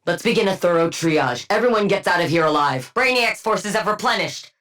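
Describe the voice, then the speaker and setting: A woman's voice: fairly low pitch, expressive, fairly quick, a bit bright. Casting: brainy armored villainess, video game; woman with sardonic, clipped delivery and learned wit. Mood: brisk, businesslike. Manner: dry, clipped, academic wit. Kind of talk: video game combat barks.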